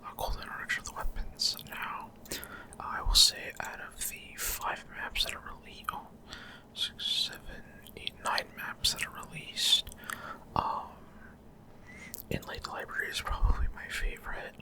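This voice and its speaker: Whispering man in halting ASMR game commentary. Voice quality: soft, unvoiced whisper. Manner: halting, frequent pauses mid-sentence. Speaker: gaming video host.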